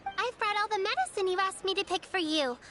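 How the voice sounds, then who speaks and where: A woman's voice: very high voice, lively intonation, fairly quick, over music. A woman voicing a sweet, dutiful little girl apprentice in a video game, earnest and politely fussing. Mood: caring.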